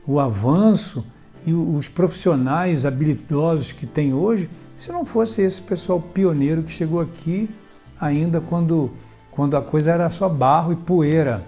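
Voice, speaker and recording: A man hosting a tribute web series, speaking earnestly and haltingly with many restarts. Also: a little high, dark tone, soft background music. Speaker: host.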